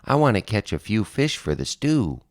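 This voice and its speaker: A man's voice: fairly low pitch, big pitch swings, normal speed, dry recording, clean studio sound. A man voicing an eager, polite, proud, fat little rabbit in a picture-book read-aloud. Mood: cheerful.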